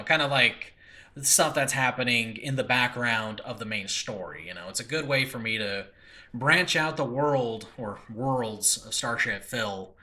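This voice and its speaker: A man's voice: mid-range voice, sharp tone. An American man hosting a podcast solo, talking informally and rambling with frequent filler asides. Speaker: podcast host and audio drama creator. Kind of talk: casual solo podcast talk. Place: room in a new apartment, makeshift recording space.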